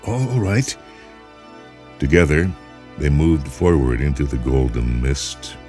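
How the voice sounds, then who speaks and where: Very deep-voiced, slow male story narrator. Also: warm tone. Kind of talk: dramatic scripted narration of speculative fiction. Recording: music behind the voice.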